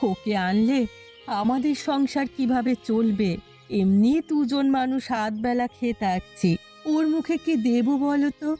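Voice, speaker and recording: A woman's voice: on the high side, a little slow, dark tone, soft background music, natural voice. A woman voicing a poor, anxious village mother in a cartoon, plaintive and fretful in the way she talks. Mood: worried. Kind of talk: cartoon dialogue acting.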